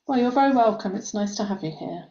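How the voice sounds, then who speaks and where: Woman host, mellow and friendly, roomy muffled call audio. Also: mid-range voice, animated, fast, slight background noise. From United Kingdom. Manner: friendly, light laughter, gentle fillers. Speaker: meeting host, program manager. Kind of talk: hosting an online meeting. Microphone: video call.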